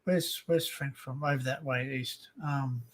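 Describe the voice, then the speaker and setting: An Australian man hosting a casual livestream chat, rambling and joking in an excitable way. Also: medium pitch, unhurried. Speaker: livestream host.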